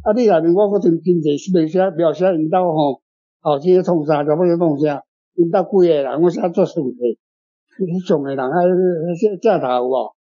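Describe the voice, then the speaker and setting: Higher-pitched Taiwanese man, very dark voice. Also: brisk. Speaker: author answering readers' questions about a story. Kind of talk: casual spontaneous explanation. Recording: dull lo-fi sound with music underneath.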